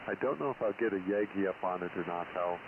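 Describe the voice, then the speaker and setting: Thin, noisy radio transmission: older male ham operator, lowish voice, casual on-air exchanges. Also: quick pace. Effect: received over radio. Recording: noisy narrow-band shortwave radio with hiss.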